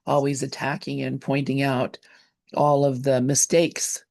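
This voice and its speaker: Chatty host of a group call, quick to laugh, dry sound. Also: mid-range voice, flat delivery, gravelly, darkish tone, no room sound. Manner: chatty, laughs often, stumbles over words. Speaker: host of a study gathering. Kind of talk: casual group discussion.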